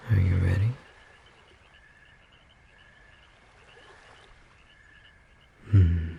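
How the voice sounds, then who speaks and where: A performer playing a tender, devoted magical bookstore clerk in an intimate ASMR romance roleplay, speaking in a gentle, slightly rough, breathy whisper with long pauses. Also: deep voice, slight background noise, heavily acted. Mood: gentle, reassuring.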